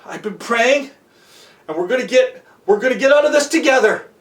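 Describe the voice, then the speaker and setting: A storyteller voicing a brave, earnest boy who reassures in a steady way. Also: mid-range voice, moderate pace, far from the mic, lightly acted. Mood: earnest reassurance. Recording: echoey room, off the microphone.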